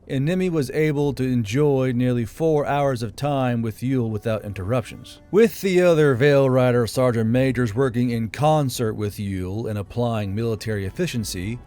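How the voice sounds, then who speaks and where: A man reading a fantasy novel aloud as scripted audiobook narration. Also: medium pitch. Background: quiet background music.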